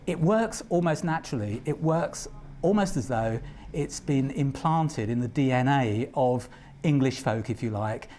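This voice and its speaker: A man's voice: medium pitch, expressive, measured pace, warm tone, a little hiss or hum. A man giving an explanatory interview, speaking emphatically and repeating phrases for stress. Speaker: pantomime commentator.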